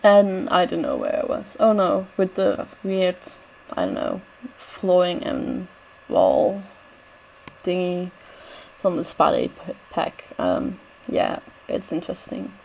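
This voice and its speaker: A woman's voice: on the low side, huge pitch swings, slow, vocal fry, a little mellow, low-quality recording. A woman with a bad cold, her voice straining, giving casual rambling commentary over a building game with lots of "I don't know" asides. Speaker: game builder narrating a renovation.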